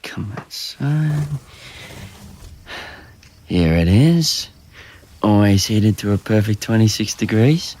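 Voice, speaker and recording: Young Australian male: slow-talking, halting, self-conscious, easygoing, naturalistic drama, slight background noise. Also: on the low side, darkish tone. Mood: casual. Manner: casual, halting, self-deprecating. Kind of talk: naturalistic audio drama dialogue.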